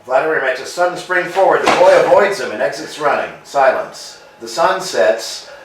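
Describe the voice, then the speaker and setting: A man's voice: a little high, lively intonation, moderate pace, background noise. Man reading stage directions, distant. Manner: plain, matter-of-fact. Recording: echoey room, distant mic.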